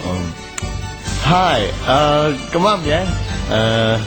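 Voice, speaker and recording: An actor playing a lovelorn, hopeful young student in a radio drama, earnest and wistful. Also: male voice, fairly high pitch, very animated, very slow, heavily acted. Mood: nervous, hesitant. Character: lovelorn, hopeful young male student waiting for his girlfriend. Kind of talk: Cantonese radio drama acting and first-person narration. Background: music.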